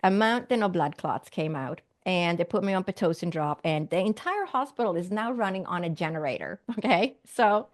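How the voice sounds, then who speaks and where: Low-voiced Swedish-born woman telling a story. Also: lively intonation, normal speed, few pauses. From Sweden. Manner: earnest, vivid storyteller with light laughs. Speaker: guest, physician and medium. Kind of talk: storytelling interview answers.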